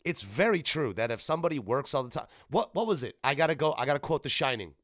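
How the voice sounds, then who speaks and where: A man hosting his own podcast in casual chat, animated and swinging up in pitch and loudness for emphasis. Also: fast talker, dry recording, clean studio sound. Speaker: podcast host.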